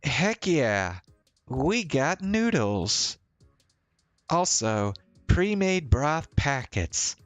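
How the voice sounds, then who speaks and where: A man's voice: on the high side, sing-song, drawn-out words, no echo, faint music behind, pitched above the performer's own voice, full character voice. A man voicing an upbeat, casual young woman in a visual novel. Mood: excited.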